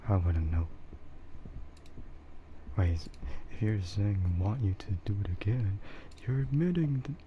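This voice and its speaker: A man livestreaming casual ASMR chat in a hushed, rambling way that often slips toward a whisper. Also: unhurried, pauses often. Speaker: livestream host. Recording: muffled low-fidelity mic with clear background noise.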